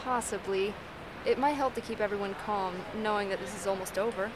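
A woman playing a calm, reassuring leader of survivors in an audio drama. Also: mid-range voice, fairly quick, noisy background, close to an everyday voice. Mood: relieved, quiet.

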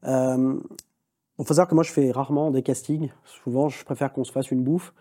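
A man, a film director, talking in a long interview, quick and animated, with many restarts and fillers. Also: mid-range voice. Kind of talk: long-form conversational interview.

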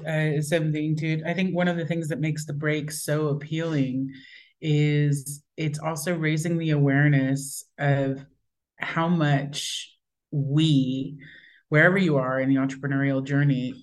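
A woman entrepreneur talking enthusiastically in a discussion, her pace shifting between quick and slow stretches. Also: low-pitched, steady tone. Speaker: entrepreneur guest. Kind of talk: conversational discussion.

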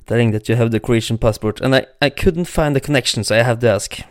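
A man's voice: mid-range voice, fast, dry recording, clean studio sound. A man hosting an interview, asking questions hesitantly with many uhs and slightly awkward phrasing. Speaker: interviewer, host.

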